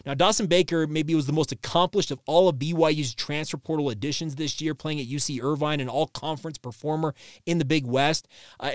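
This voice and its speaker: Clean, higher-voiced man hosting sports podcast. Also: no echo, clean studio sound. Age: 30s. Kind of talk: solo sports news commentary, conversational.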